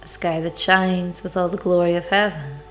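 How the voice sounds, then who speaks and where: A woman leading a devotional guided meditation, speaking in measured, deliberate phrases with pauses. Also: fairly low pitch, fairly even intonation, slight background noise. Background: background music.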